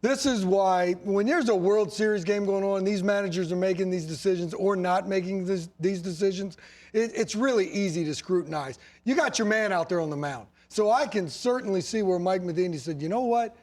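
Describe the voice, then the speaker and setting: A man's voice: high-pitched, fairly quick, a little mellow. An American man giving unscripted sports panel analysis in a colloquial, emphatic way, repeating himself for stress. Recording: faint music behind the voice. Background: faint music.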